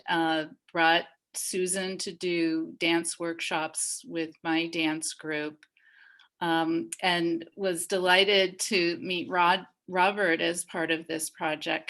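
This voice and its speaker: A woman from Massachusetts speaking in a halting, thoughtful way in a discussion on a video call. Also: on the low side, a bit bright. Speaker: dance group leader and panelist.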